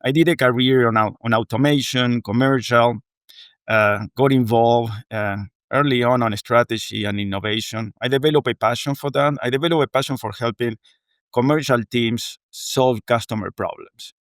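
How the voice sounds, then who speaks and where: A man's voice: mid-range voice, steady tone, normal speed, dry recording, clean studio sound. A middle-aged man from Argentina, a native Spanish speaker with an Argentine accent, speaking earnestly and reflectively as an interview guest. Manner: earnest, reflective, frequent "you know". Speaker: guest, water technology executive. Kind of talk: conversational interview.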